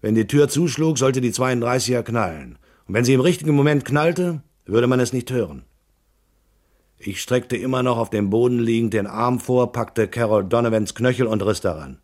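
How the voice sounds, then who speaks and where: A man's voice: medium pitch, moderate pace, darkish tone, close to an everyday voice. An actor playing a cool, tough private detective in a noir radio drama, laconic and matter-of-fact as he narrates and talks. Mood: cool, narrating. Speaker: narrator and lead character.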